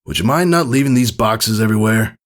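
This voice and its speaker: A man voicing a cheerful, commanding pegasus pony father in a storybook reading, playful and gently bossy. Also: lowish voice, brisk, pitched above the performer's own voice, lightly acted. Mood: brisk, bossy.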